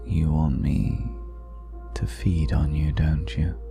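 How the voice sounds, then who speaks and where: A man playing a seductive, ageless vampire in an intimate ASMR roleplay, speaking slowly and half in whispers, teasing with quiet menace, close to the microphone. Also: little pitch movement, soft background music, exaggerated voice. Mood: hungry, seductive. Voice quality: very deep, gravelly. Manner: slow, breathy half-whispered delivery with lingering pauses.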